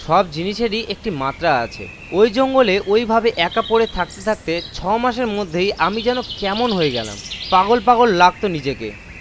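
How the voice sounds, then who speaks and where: A man with a high voice narrates a first-person horror tale as a dramatised reading. Clear outdoor ambience with birds runs behind his words. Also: lively intonation, moderate pace, noisy background. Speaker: story narrator. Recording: constant nature ambience effects behind voice.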